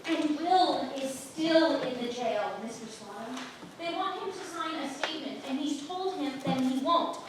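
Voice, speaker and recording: A woman's voice: sits high, unhurried. Actress as earnest young woman, noisy echoey stage. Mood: worried. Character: Alice, an earnest, outspoken young sweetheart of a jailed apprentice. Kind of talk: staged reading of a period stage play. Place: playhouse stage. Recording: echoey theatre from far off, noisy.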